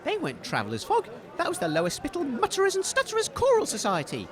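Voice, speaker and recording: A man playing a flustered, cheery wasteland radio host in a comedy broadcast, with an excitable, stammering manner. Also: very high voice, fast, some chatter behind, full character voice. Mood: cheerful, earnest.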